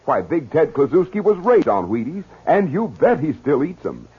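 A man reads a 1950s radio commercial in an upbeat, sing-song way. His voice is very husky and swoops across a wide range. The old recording is dull and lo-fi. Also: on the high side, huge pitch swings, normal speed. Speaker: commercial announcer.

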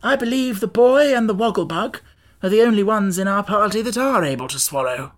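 A woman's voice: medium pitch, lively intonation, brisk, voice pushed up, lightly acted. A woman voicing a blunt, practical straw scarecrow man from a storybook, talking in a wordy, wry, emphatic way. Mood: matter-of-fact.

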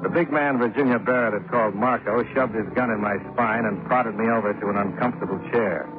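An actor playing a cool, wry American insurance investigator in a 1950s radio drama, speaking with dry, clipped deadpan. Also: male voice, lowish voice, fairly quick, music in the background, lightly acted. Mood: wry, tense narration. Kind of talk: 1950s radio drama acting with first-person narration. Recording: muffled 1950s radio recording.

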